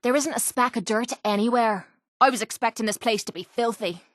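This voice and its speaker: An actress playing a tough, sarcastic young pit fighter in a video game, with an Irish accent and a blunt, salty, wry way of talking. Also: female voice, a little high, brisk, no room sound, studio quality, close to an everyday voice. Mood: wry, guarded. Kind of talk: video game character voice lines.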